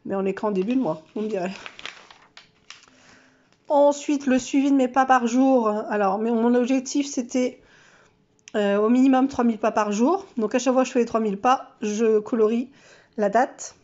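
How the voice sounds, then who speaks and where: A woman's voice: a little high, moderate pace, darkish tone, muffled recording. A woman vlogger with a stuffy, nasal voice from a cold, chatting casually and self-mockingly through her monthly challenges with lots of fillers.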